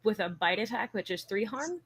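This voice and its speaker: A woman's voice: mid-range voice, lively intonation, a little fast, fairly bright tone. A woman chatting casually as a player in a tabletop role-playing game.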